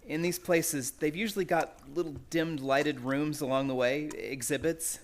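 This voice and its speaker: A man's voice: fairly high pitch, steady tone, slight background noise, lightly acted. A man playing an anxious, polite young chemist in a radio play, speaking in a hesitant, tormented way. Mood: nervous, desperate. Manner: hesitant, nervous, halting.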